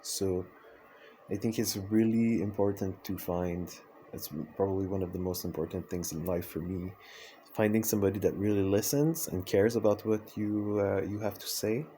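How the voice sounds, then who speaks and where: Low-voiced francophone man, halting. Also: fairly even intonation, mellow, slight background noise, poor audio. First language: French. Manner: hesitant, many fillers and restarts. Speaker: vlogger. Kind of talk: reflective personal vlog monologue.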